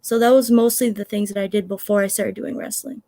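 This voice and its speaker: A woman's voice: medium pitch, steady tone, brisk, creaky, mellow, dry recording. A young woman from Canada, a wrestler answering interview questions in a chatty way, full of "like" and "um". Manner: chatty, many "like" and "um" fillers.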